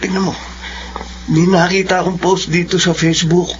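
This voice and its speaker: A youngish, fairly high-voiced Filipino son, played by a male actor, serious and guarded as a student seeking his father. His radio drama speech is hesitant, in a slightly dull recording with faint noise. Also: little pitch movement, moderate pace, close to an everyday voice. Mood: startled, urgent.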